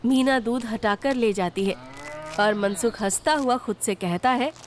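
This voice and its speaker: A woman's voice: fairly high pitch, measured pace, a little hiss or hum. A woman reading scripted narration for a children's story.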